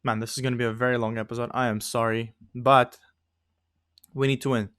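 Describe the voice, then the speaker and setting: Young guy, english not his first language, chatty and laughing at himself, mid pitch, dry mic. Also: little pitch movement, fairly quick, a bit bright, smooth, no room sound. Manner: rambling, self-mocking, laughs often. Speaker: gaming YouTuber. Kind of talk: casual gameplay commentary.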